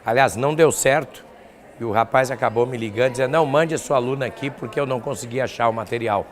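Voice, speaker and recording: An older man with a southern Brazilian accent speaks at a middle pitch as an academic being interviewed. He explains his points step by step, reaching for concrete examples. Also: fast. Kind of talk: spoken interview answers.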